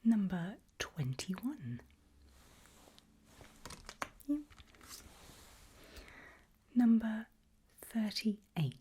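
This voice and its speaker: A woman doing a whispered ASMR doctor roleplay, speaking breathily in gentle, reassuring, halting short phrases close to the microphone. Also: medium pitch, very animated, slight background noise. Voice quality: breathy, mostly unvoiced whisper. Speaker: ASMR performer role-playing a doctor giving a memory test.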